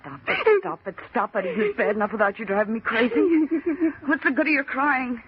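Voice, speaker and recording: A woman's voice: fairly high pitch, lively intonation, brisk, slight background noise. An actress playing a brash, skeptical young office woman with an American accent, snappy and insistent as she hides her fear, in old-time radio horror style. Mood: strained reassurance. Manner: snappy, insistent, scoffing, repeats herself. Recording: muffled, narrow-band vintage radio recording.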